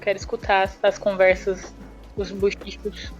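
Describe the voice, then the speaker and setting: Lady player in an rpg session, casual, mid pitch, music behind, bit muffled. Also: completely flat delivery, moderate pace. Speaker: roleplaying game player. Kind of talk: casual tabletop roleplay, stating actions and in-character questions. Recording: slightly muffled, band-limited audio with music. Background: background music.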